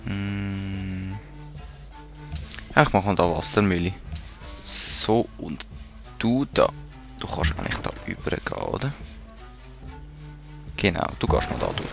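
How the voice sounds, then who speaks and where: A man's voice: deep voice, expressive, measured pace, long pauses, soft background music. A man with a Swiss German accent giving casual commentary while playing a strategy game, muttering to himself and talking to the units on screen. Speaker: let's play gamer.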